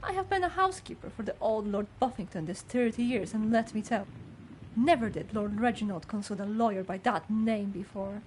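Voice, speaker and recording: A woman's voice: mid-range voice, expressive, a little fast, darkish tone, slight background noise, close to an everyday voice, rough recording. A woman playing a gossipy, judgmental older housekeeper in a video game, with a fussy, haughty manner. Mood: scornful, gossipy. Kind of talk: scripted game character dialogue.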